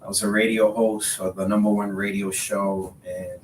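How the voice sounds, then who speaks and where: Low-voiced man, echoey and distant. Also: level tone, moderate pace. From Dominican Republic. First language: Spanish. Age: 30s. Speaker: podcast guest, realtor. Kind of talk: casual interview conversation. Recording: roomy echo, distant mic, faint rumbling noise.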